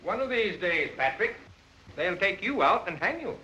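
A courteous, wry colonial gentleman, has a fairly high, bright voice. It is 1930s film acting with an echoey, dull soundtrack. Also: very animated, normal speed, full character voice. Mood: warning.

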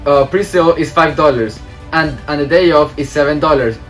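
A man's voice: on the high side, brisk. Teen guy reading school announcements, bit of music behind. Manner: reads with small slips and restarts. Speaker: student news anchor.

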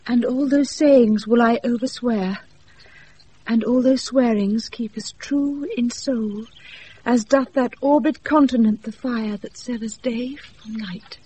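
Casting: sincere young British heroine in radio Shakespeare, high-pitched, lyrical, light off-air hiss. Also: female voice, takes it slowly, warm tone. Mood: earnest, devoted. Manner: earnest, lyrical. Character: devoted young woman disguised as a page boy. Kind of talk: 1980s radio Shakespeare acting. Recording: noisy off-air radio recording.

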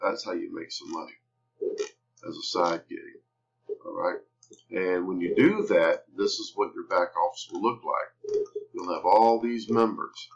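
A man giving a casual sales pitch over a screen-share tutorial, repeating phrases and checking in with short tag questions. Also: unhurried, gravelly. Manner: repeats phrases, tags sentences with "right?". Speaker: online marketer promoting a product. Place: indoors at a computer. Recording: slightly dull screen-capture audio.

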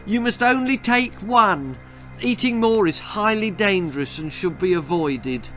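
A man's voice: sits high, animated, unhurried, a bit bright, soft background music, pitched above the performer's own voice. A man voicing an anxious young boy in a children's story, hesitant and pleading as he explains himself. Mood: anxious persuading.

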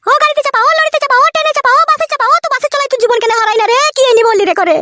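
A woman's voice: very high-pitched, very fast, very noisy. A woman voicing a betrayed, scolding village wife in a comedy cartoon, with a rural West Bengal accent, ranting and wailing. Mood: furious. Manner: nagging, cursing, wailing rants. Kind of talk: comedy cartoon dub, melodramatic quarrelling.

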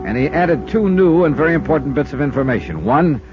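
An actor playing a tough, wry American insurance investigator in a 1950s radio drama, speaking and narrating in a clipped, dry manner. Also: male voice, on the high side, animated, a little fast, over music, close to an everyday voice. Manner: clipped, dry, hard-boiled. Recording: dull lo-fi 1950s radio recording.

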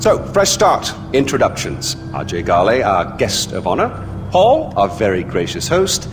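A man playing a middle-aged, theatrically polite and volatile dictator in a video game, British accent, precise drawn-out phrasing and mocking courtesy. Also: lowish voice, wildly swooping pitch, noisy background. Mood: smooth, quietly menacing. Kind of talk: video game cinematic acting. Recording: loud music behind.

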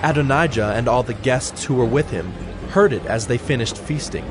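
Male narrator, mid-pitched, measured storytelling for scripture drama, prominent score underneath. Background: prominent music.

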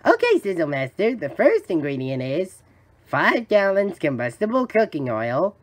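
A man voicing a cheerful, gullible young sea sponge fry cook for a cartoon, eager and naive. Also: high voice, huge pitch swings, normal speed, exaggerated voice. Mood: earnest. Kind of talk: cartoon fan voice acting.

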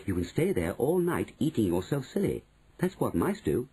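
A man's voice: medium pitch, big pitch swings, moderate pace, dark tone, slightly rough, pitched above the performer's own voice. A man voicing a sensible young boy turned mouse, explaining things earnestly and patiently in a storybook reading. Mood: coaxing.

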